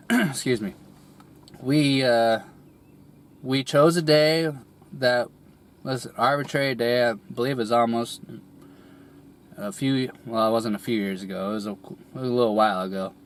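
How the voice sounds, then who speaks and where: A young man getting over a cold, his voice a little raspy, telling a personal story slowly with many pauses, fillers and throat clearing. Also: mid-range voice, steady tone, a little hiss or hum. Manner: hesitant, rambling, many fillers and restarts, clears throat often. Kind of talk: candid personal monologue.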